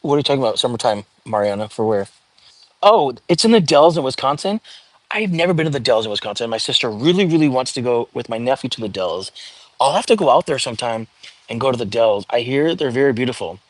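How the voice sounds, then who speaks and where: A man hosting a casual live stream, chatting and reading viewer comments aloud with lots of fillers and restarts, in a calming voice. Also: medium pitch, fast, no echo, low-quality recording. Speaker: livestream host.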